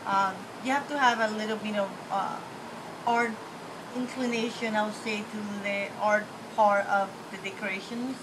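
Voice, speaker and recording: A woman's voice: mid-range voice, steady tone, moderate pace, background noise. A woman from the Dominican Republic with a Dominican Spanish accent, answering interview questions casually and candidly, correcting herself as she goes. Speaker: interview guest, small business owner.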